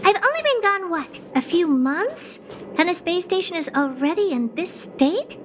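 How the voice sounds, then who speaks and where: Super high girly genius scientist, snooty and smug, game cutscene, swoopy delivery, music under it. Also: sing-song, moderate pace. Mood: indignant. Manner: condescending, smug, blunt, bored drawl turning to keen curiosity. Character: haughty, doll-like female genius scientist who owns a space station. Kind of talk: video game cutscene acting.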